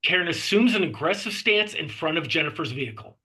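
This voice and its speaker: A man with a very bright, sharp voice, pitched a little high, narrates a screenplay. He reads stage directions and scene headings in scripted style. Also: lively intonation, fairly quick. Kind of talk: scripted narration at a table read.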